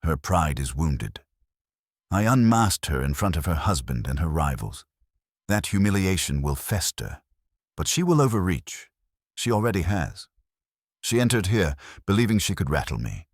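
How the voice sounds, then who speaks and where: A man's voice: low-pitched, big pitch swings, normal speed, no room sound, clean studio sound, exaggerated voice. A man voicing an imperious, blunt male detective who speaks in pompous, formal pronouncements in a mystery audiobook. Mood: scornful. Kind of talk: mystery audiobook character reading.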